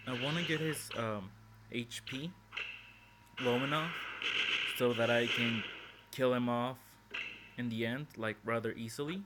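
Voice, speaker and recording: A man's voice: medium pitch, unhurried, very noisy. Man talking through a mobile game. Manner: hesitant, full of ums and restarts, thinking aloud. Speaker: gameplay commentator. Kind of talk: casual game walkthrough commentary. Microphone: phone microphone. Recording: phone mic with loud game music behind.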